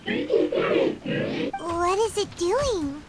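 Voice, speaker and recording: A woman voicing a small, excitable, childlike fairy companion with a squeaky, exclamatory delivery in a video game. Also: drawn-out words, vocal fry, over loud music, exaggerated voice. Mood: curious, amazed. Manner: squeaky, exclamatory, speaks of self in third person.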